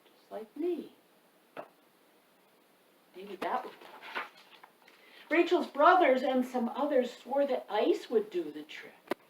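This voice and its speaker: Expressive woman storyteller in a roomy space. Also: high voice, takes it slowly, pauses often. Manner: addresses young listeners with questions. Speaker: author and storytime host. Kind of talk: children's picture-book read-aloud. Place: indoor room by a wood stove. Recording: roomy, distant mic, faint noise.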